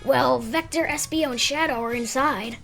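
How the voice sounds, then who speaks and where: A woman's voice: high voice, normal speed, vocal fry, fairly bright tone, soft background music. A woman playing an earnest, friendly young boy fox in a cartoon audio drama. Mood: matter-of-fact.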